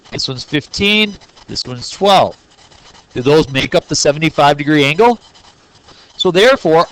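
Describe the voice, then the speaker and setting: Male teacher, higher register, playful and jokey, classroom delivery. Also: a bit bright, a little hiss or hum, rough recording. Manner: jokey, playful, talks straight to students. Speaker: math teacher. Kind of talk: classroom lesson recorded on video.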